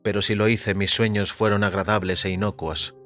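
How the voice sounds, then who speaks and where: Low-voiced male storyteller over music. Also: little pitch movement, fairly bright tone. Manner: measured, composed storytelling. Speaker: narrator. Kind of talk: first-person scripted fiction narration.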